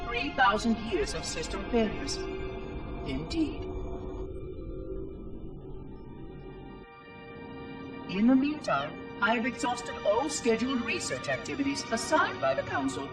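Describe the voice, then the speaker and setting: A man's voice: extremely high pitch, very animated, normal speed. A man voicing a fussy, lonely, curious ancient robot caretaker in a video game, speaking precise, formal lines through a synthetic effect. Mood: measured, weary. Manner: precise, formal, prim diction. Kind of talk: scripted video game monologue. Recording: echoey, distant voice over music.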